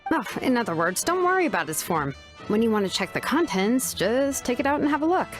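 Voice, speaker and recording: A woman's voice: fairly high pitch, sing-song, brisk. A woman playing a kind, resolute young woman in a fantasy video game, speaking in a considerate, assured way. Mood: reassuring. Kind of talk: scripted video game voice acting. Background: background music.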